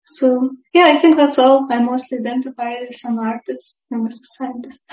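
A young woman with a Lithuanian accent answers casually as an interview guest, thinking aloud. Her voice is fairly high and flat in melody. The recording is echoey. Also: moderate pace. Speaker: interview guest, musician and scientist. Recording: dull, echoey room sound.

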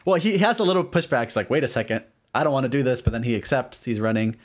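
Casual mid-pitched man retelling scenes. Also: expressive, racing. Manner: informal, acts out lines as he retells. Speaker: podcast co-host. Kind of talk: casual chat, retelling scenes from shows and films.

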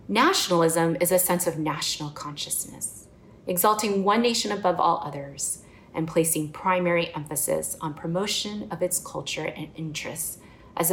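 A woman's voice: lowish voice, fairly bright tone. A woman from the United States preaching a sermon in an earnest, personal and reflective way. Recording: echoey room.

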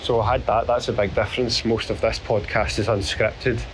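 Hoarse Scottish man walking outdoors. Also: on the low side, flat delivery, poor audio. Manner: rambling, self-deprecating, halting. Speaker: podcast host. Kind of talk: casual spoken diary. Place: outdoors on a beach. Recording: outdoor recording with clear background noise.

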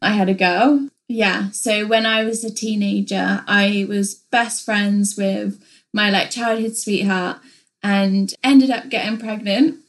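A woman's voice: medium pitch, steady tone, slow. Chatty young English woman co-hosting. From England. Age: young adult. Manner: open, chatty, many fillers. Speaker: podcast co-host. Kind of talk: casual podcast chat.

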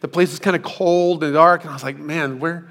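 A middle-aged man preaches with a hoarse, worn voice that is still healing, pitched a little above the usual for men. He tells his story in an easy, self-deprecating way, slipping in asides to the congregation. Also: steady tone, a little fast, fairly bright tone. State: hoarse, recovering from lost voice. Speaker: pastor. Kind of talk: sermon. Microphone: hands-free microphone.

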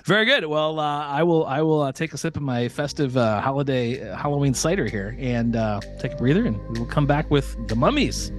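A man co-hosting a podcast, speaking in a thoughtful, hesitant way with many pauses. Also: medium pitch, expressive, soft background music. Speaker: podcast co-host. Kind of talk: casual chat.

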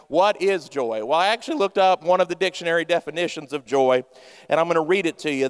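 A man's voice: a little high, smooth. A man in his thirties preaching a humorous sermon, playful and self-mocking, talking straight to his congregation. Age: 30s. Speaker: pastor. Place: church sanctuary. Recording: long church hall reverb.

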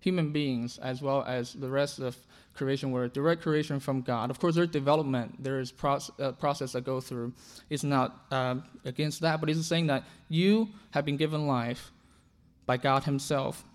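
A man's voice: mid-range voice, steady tone, normal speed. A man from China, whose first language is Chinese, preaching a sermon earnestly, often restarting and repeating words. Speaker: pastor.

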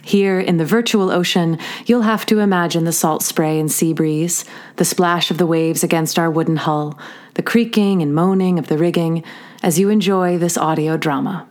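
A woman giving a scripted introduction as a theatre host, measured and articulate. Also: lowish voice, darkish tone. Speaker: theatre company host introducing audio drama. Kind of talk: scripted narration.

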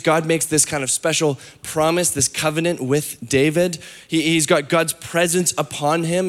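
A man preaching a sermon as pastor, hoarse from losing his voice, talking candidly with fillers and restarts. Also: on the high side. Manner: candid, self-deprecating, many fillers and restarts.

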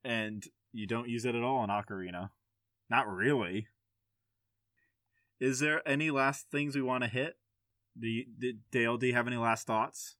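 A man's voice: medium pitch, normal speed, long pauses, fairly bright tone, no echo, studio quality. A man hosting a casual video game podcast, talking informally with swearing and easy laughter. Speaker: podcast host.

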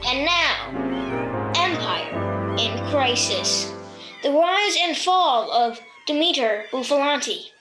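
A woman playing a formal investigative TV presenter in a children's audio drama, with measured, portentous announcing. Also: high-pitched, lively intonation, music in the background, rough recording. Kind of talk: children's audio drama acting, mock broadcast.

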